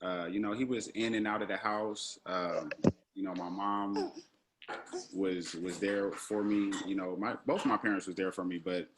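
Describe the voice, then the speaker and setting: A man in his thirties, a guest on an interview show, answering haltingly with many "you know"s. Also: sits low, fairly even intonation, noisy background. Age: 30s.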